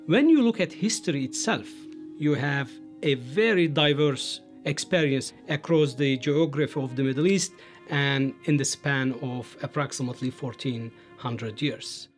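A man from Turkey, a history scholar, explaining in a measured way and stressing key words. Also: mid-range voice, faint music behind. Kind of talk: interview excerpt, explanatory.

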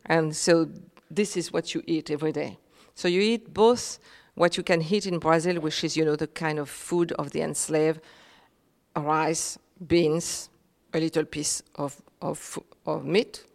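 Low, gravelly-voiced woman. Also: steady tone, big-room sound. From Réunion. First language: Réunion Creole. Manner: earnest, halting, with many fillers and restarts. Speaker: guest. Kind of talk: reflective conversational interview.

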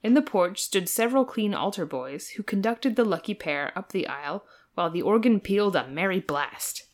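A woman's voice: medium pitch, animated, normal speed. A woman co-hosting a podcast, reading an old novel aloud as an amused, dramatic narrator.

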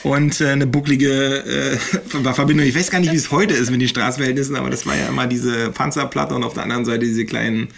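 A man's voice: mid-range voice, steady tone, quick pace, gravelly, few pauses, a bit bright. A man in his forties from northeastern Germany hosting a casual podcast, talking in a rambling way with many fillers and restarts. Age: 40s. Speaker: podcast host.

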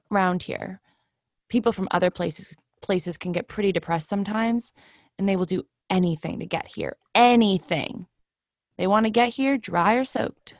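A woman's voice: medium pitch, dry recording. A woman acts out an insistent townswoman from an absurdist stage play. She is emphatic and repeats herself. The sound is close and dry. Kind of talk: scripted play reading.

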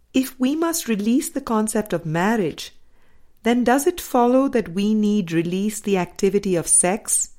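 A woman reading a spiritual book aloud as scripted narration, in a measured, deliberate way with pauses between phrases. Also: medium pitch, a little mellow. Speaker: audiobook reader.

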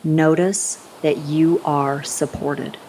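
A woman with a low-pitched voice leads a guided meditation. She takes her time, speaking slowly and soothingly with gentle instructions and long pauses. A faint rumbling hiss sits behind her voice. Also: steady tone, poor audio.

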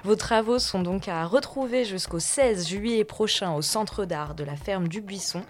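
A woman hosting a podcast interview in a relaxed, chatty way, murmuring agreement often. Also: mid-range voice, measured pace. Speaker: podcast host. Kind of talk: casual conversational interview.

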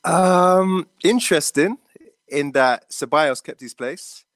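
Male panel guest, halting, filler-heavy, dry mic. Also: a little high, no echo. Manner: halting, lots of ums. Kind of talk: casual fan chat.

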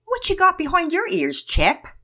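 A woman's voice: very high voice, huge pitch swings, moderate pace, bright, forceful tone, voice pushed up, heavily acted. A woman voicing a jolly great-uncle who puts on a British accent with exaggerated, theatrical surprise for a children's story. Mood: mock astonished. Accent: put-on British. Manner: theatrical, exaggerated mock astonishment. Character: joking great-uncle playing a jolly mock British gentleman.

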